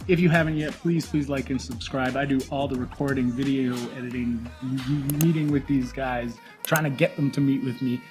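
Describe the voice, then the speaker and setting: A man's voice: medium pitch, fairly even intonation, moderate pace, few pauses, over music. Upbeat man hosting a podcast. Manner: upbeat, promotional, repeats words for emphasis. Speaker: podcast host. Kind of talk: casual restaurant review intro. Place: small restaurant.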